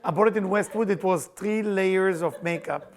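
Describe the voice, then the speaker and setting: A middle-aged man with an Israeli accent, hosting a casual chat and telling personal stories in a playful, animated way, often laughing as he talks. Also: sits high, normal speed. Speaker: spiritual teacher and podcast host.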